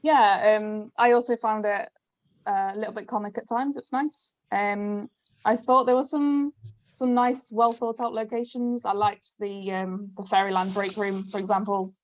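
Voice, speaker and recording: Female panelist, medium-pitched, informal feedback delivery. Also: steady tone, moderate pace. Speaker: actor on a reading panel. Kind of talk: casual feedback.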